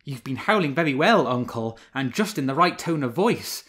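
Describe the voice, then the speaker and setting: A cunning male fox who flatters and schemes in a storybook, as a man puts him on. His voice sits a little higher and louder than plain narration, full of bowing and scraping politeness. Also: normal speed, lightly acted. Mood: mocking.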